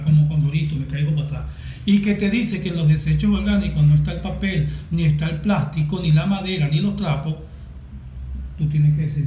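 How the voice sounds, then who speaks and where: Hombre venezolano sesentón, voz suave y aguda. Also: male voice, a little high, warm tone. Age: 60s. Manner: emphatic, deliberate with stressed repetition. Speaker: guest, environmental activist. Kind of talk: panel discussion, impassioned speech. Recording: echoey room with faint background noise.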